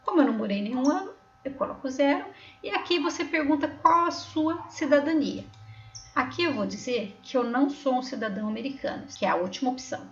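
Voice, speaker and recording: A woman's voice: high voice, animated, a little fast, slight background noise. A woman from Brazil with a São Paulo accent, patiently walking viewers through an online form step by step and often checking they are following. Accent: Brazilian Portuguese, São Paulo. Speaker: tutorial host. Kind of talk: step-by-step screen tutorial voice-over. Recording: roomy, slightly dull recording.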